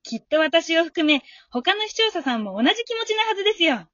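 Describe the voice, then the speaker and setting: An amateur actress playing a friendly, encouraging female fan who talks politely and gives gentle advice in a radio drama. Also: very high voice, sing-song, fairly quick, no echo, dull audio, heavily acted. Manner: polite, supportive, gently advising.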